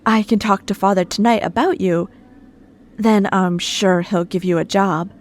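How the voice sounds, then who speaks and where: An actress playing a sweet, innocent, trusting young woman in old-time radio suspense style, with a gentle, soft manner. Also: mid-range voice, steady tone, moderate pace, lightly acted. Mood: warm, trusting.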